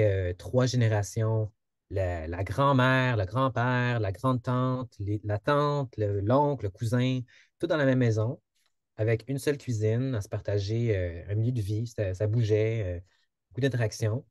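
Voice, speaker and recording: A man's voice: mid-range voice, a little slow, dry recording, clean studio sound. A man in his thirties with a Québécois accent, giving an informal presentation with many fillers and restarts. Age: 30s. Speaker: project presenter. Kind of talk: informal presentation in an online info session. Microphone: video call.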